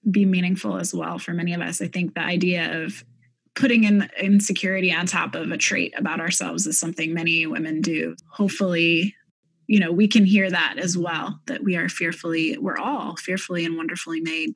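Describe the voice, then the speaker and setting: A woman hosting an interview podcast, asking questions in a gentle, affirming, slightly halting way. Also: on the low side, steady tone, creaky, slightly rough, no echo. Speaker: podcast host.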